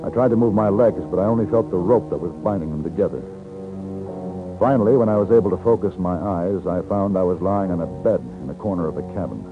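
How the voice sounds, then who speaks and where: An American actor playing a calm, plain-spoken captured gunfighter in a 1950s radio western, narrating and talking in a measured way. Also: male voice, low voice, close to an everyday voice. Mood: tense, narrating. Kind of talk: 1950s radio western acting, first-person narration and dialogue. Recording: muffled 1950s radio recording, music behind.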